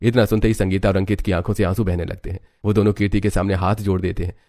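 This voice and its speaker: A man's voice: low-pitched, flat delivery, racing, a little mellow, dry recording, studio quality. A man reading scripted, dramatic narration for a family story.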